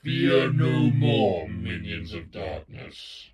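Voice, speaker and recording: A man playing a sinister announcer who addresses evil minions in an ominous, theatrical, drawn-out way for a parody advert. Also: low voice, animated, loud music behind the voice, full character voice. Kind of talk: villainous parody radio advert.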